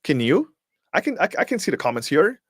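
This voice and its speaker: A man's voice: a little high, big pitch swings, fairly quick, husky, no echo, studio quality. A man whose first language is Portuguese gives a live-streamed motivational talk as host. He is emphatic, fires off rhetorical questions, and repeats words as he searches for them.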